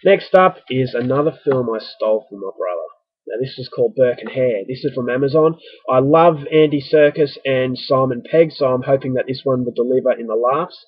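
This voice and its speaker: A man's voice: medium pitch, brisk, breathy edge, dry recording. Australian man, stuffy nose, rambling self-mocking vlogger, dry close sound, slightly dull audio. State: stuffy with early flu. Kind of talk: casual vlog talking to camera.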